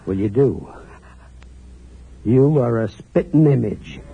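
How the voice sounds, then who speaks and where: An actor playing a folksy but sinister New England hotel keeper with a clipped drawl, in a 1940s radio thriller style. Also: male voice, mid-range voice, big pitch swings, slow pace. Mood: menacing, commanding. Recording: muffled old radio recording.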